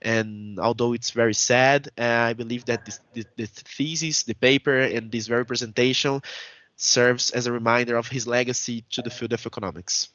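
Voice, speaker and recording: A man's voice: mid-range voice, steady tone, moderate pace, a bit bright, dry recording. A young man with a Brazilian Portuguese accent gives an academic conference talk in a careful, hesitant way, often stopping to rephrase. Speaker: conference presenter. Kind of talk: academic paper presentation. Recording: dull, dry video call audio.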